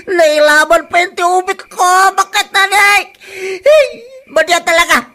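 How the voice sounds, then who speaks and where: A woman plays a moaning, sore crop thief with an Ilocano accent in a comedy radio drama. The voice is high-pitched and piercingly bright, full of whiny complaint. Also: measured pace, exaggerated voice. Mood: pained whining. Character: whining, aching crop thief caught out.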